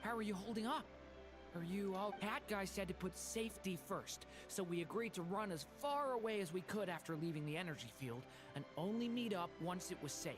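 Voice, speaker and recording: A woman's voice: medium pitch, animated, fairly quick, over music, natural voice. A woman voicing a concerned, talkative young companion in a video game.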